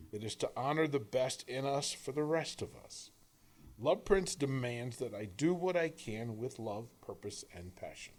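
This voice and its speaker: A man reading scripted inspirational prose in an earnest, emphatic way, with deliberate phrasing and dramatic pauses. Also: fairly low pitch, a little mellow, husky. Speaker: author reading own work; coach and radio host.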